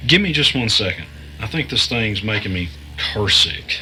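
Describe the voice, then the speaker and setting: A weary, anxious storyteller, played by a man narrates a mystery audio drama in the first person. The voice sits low, with measured, halting delivery and deliberate pauses, over faint music. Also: sing-song, pushed and bright, full character voice, poor audio. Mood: queasy, determined. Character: weary, anxious male narrator recounting an eerie arcade ordeal.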